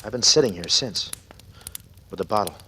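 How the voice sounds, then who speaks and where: An actor playing a brooding, guilty and slightly unhinged man in a halting, dramatic 1940s radio style. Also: on the low side, sharp tone. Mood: haunted, grieving. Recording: noisy old radio recording.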